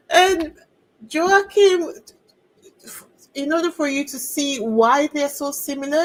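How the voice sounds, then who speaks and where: A woman's voice: fairly high pitch, low-quality recording. A woman hosting a royal news channel, chatting casually to viewers with sarcastic asides.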